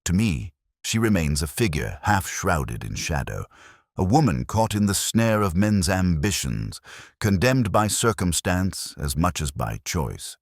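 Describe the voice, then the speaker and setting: Very deep-voiced man narrating a detective story. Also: big pitch swings, no echo, studio quality. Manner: formal, literary, measured storytelling. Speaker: audiobook narrator. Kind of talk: scripted first-person detective story narration.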